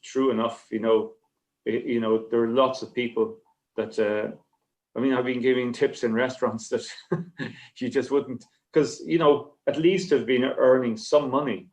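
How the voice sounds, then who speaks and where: A man, a writer on a panel, talking casually and reflectively in a halting, rambling, understated way. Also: medium pitch, steady tone, a little mellow. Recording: slightly dull, lo-fi connection.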